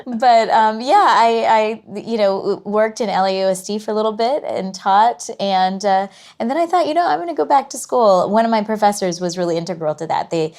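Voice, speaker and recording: A woman's voice: mid-range voice, lively intonation, a little mellow. A woman from Southern California with an American accent, chatting casually and readily as an interview guest. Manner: chatty, quick to laugh. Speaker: guest, curator and academic.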